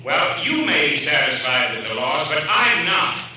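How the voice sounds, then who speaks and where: A man's voice: on the high side, big pitch swings, measured pace, bright, forceful tone, full character voice. A man acting an indignant, self-important club director, insistent and pompous, with an American accent in 1950s radio drama style. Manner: insistent, pompous, repeats himself. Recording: echoey hall, far from mic, faint noise.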